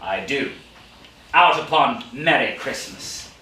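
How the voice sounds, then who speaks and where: A man's voice: mid-range voice, animated, slow, sharp tone, pitched below the performer's own voice. A man voicing a bitter, miserly old uncle in a storybook reading, curt, scornful and emphatic. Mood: scornful, ranting. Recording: echoey, distant, noisy room recording.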